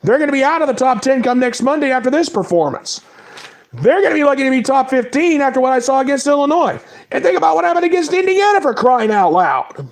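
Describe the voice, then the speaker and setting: A man with a cold giving a casual spoken recap of basketball results, rattling off stats in quick lists. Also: very high voice, sing-song. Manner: reads stats in quick lists, apologizes for mispronouncing names. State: has a cold. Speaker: sports talk host. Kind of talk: casual sports recap monologue.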